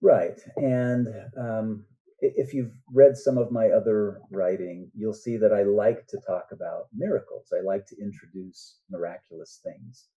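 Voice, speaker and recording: Older man, very dark mellow voice. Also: lowish voice, measured pace. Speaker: novelist guest on a podcast. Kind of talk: reflective interview conversation. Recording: dull, muffled lo-fi sound.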